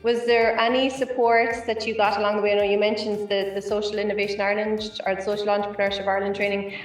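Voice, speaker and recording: A woman moderating a panel, asking gentle, hedged questions. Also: mid-range voice, little pitch movement, quick pace. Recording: echoey room from far off, faint music.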